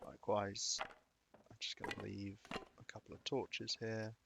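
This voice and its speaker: A man's voice: on the low side, completely flat delivery, a little slow, creaky, heavy background noise. A young British man chatting casually while streaming a game, rambling and chuckling between remarks. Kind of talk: live gaming commentary.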